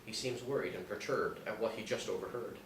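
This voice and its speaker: Male narrator, lowish pitch, scripted screenplay reading, echoey room, distant mic. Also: brisk, a little hiss or hum. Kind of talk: reading screenplay action lines.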